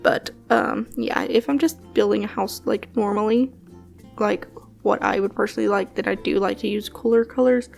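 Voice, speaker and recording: A young woman talking casually over gameplay as a channel host, rambling with many fillers and soft laughs, a bit sniffly from allergies. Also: mid-range voice, steady tone, normal speed, creaky, soft background music. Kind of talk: casual voice-over commentary on a building game.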